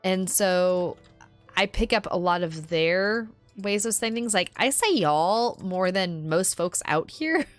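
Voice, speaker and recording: A woman's voice: on the low side, lively intonation, slow pace, no echo, faint music behind. A woman from California chatting casually on a stream, playful and curious, laughing often.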